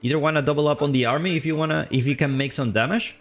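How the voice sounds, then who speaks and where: A man streaming live commentary on a strategy game match. He is chatty and loose, and his voice leaps up on big plays before settling back to calm explaining. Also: rapid-fire, faint music behind. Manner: excitable, voice jumps high on big plays, then calm explaining; loose and chatty, with fillers and restarts.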